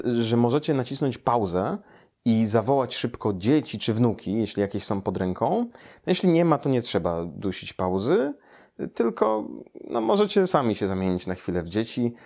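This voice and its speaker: Low-pitched, animated man. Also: moderate pace. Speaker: storyteller reading a translated children's tale. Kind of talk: storybook reading aloud. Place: small furnished room, indoors.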